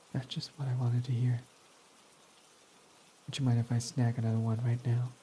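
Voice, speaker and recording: A young man playing a guarded male villain who confesses his love, speaking softly and often sinking to a whisper in a romantic roleplay. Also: mid-range voice, level tone, moderate pace, a little hiss or hum, close to an everyday voice. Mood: soft, affectionate. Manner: soft-spoken, often dropping to a whisper. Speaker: ASMR roleplay voice actor.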